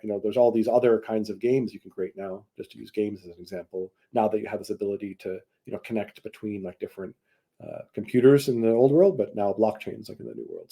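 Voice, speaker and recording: Low, creaky-voiced man explaining casually. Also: mellow. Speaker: tech company chief executive, guest. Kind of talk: casual explanatory interview talk. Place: video call.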